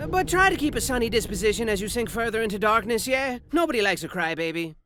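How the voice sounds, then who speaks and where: A man's voice: sits high, animated, fast talker. A man narrating a game in a theatrical comic style, full of sarcastic asides and silly sound effects. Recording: game audio with loud music.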